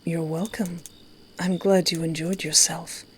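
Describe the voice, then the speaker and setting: A woman voicing a courtly, flirtatious male wish-granter from a visual novel, speaking softly and formally with a teasing edge. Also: fairly low pitch, fairly even intonation, measured pace, breathy edge. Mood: tender teasing. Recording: music behind.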